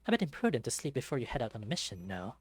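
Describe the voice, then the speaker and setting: A man's voice: medium pitch, sing-song, rapid-fire, breathy edge, no room sound. A man voicing a confident, teasing tigress in a visual novel, with a light raised voice and breezy, sassy manner. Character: brisk, teasing tigress, confident female teammate.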